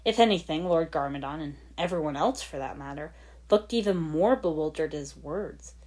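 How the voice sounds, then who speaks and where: A woman's voice: sits low, expressive, moderate pace, darkish tone. A woman reading fan fiction aloud as dramatic scripted story narration. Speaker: fan fiction podfic reader.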